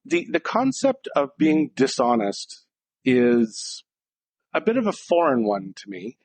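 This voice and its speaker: A man's voice: mid-range voice, wildly swooping pitch, a little slow. A man co-hosting a casual podcast, talking in a direct, blunt way and acting out short exchanges. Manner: direct and blunt, acting out little dialogues in different voices. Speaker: podcast co-host.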